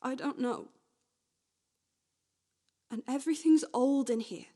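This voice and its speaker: Woman acting a high-voiced, restless, wistful young woman. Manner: halting, repetitive, swinging from hushed to agitated. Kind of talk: radio play monologue, naturalistic and confessional.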